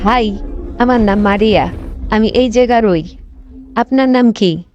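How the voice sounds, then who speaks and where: A woman's voice: fairly high pitch, a little slow, close to an everyday voice. A woman playing a mysterious, chatty young female stranger in a horror cartoon. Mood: friendly. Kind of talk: cartoon horror story dialogue. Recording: dull lo-fi sound, faint music.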